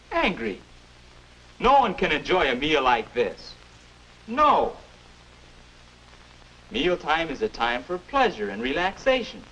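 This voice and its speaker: Casting: persuasive young American mentor of twenty-one, very animated delivery, muffled 1950s educational film sound. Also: male voice, fairly high pitch, a little hiss or hum, heavily acted. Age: young adult. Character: confident 21-year-old future self, patient persuasive advisor.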